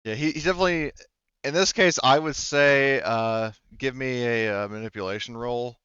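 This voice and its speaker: Male game master, casual and halting, rambling through rulings; smooth lower voice, slightly dull sound. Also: a bit bright, no room sound. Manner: hesitant, rambling. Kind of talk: casual tabletop game narration.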